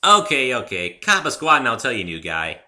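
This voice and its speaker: A man's voice: medium pitch, sing-song, normal speed, bright, forceful tone, voice pushed up. A man voicing a brash, boastful young female pegasus pony racer in a dramatic story reading, cocky and emphatic. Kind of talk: dramatic reading of fan fiction.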